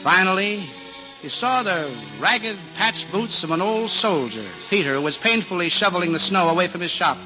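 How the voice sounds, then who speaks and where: A gentle storyteller, with a voice a little higher than most men's. He narrates in the measured, literary style of 1940s radio drama. Music plays behind him on a muffled old recording. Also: lively intonation. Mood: gentle narration.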